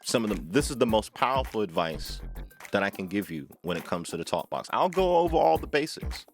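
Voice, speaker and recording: A man giving an informal music tutorial, talking emphatically, repeating phrases and often checking in with a short questioning tag. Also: fairly low pitch. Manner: emphatic, repeats phrases, seeks agreement with "right?". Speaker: music tutorial host, talkbox player. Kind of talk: informal instructional talk to camera.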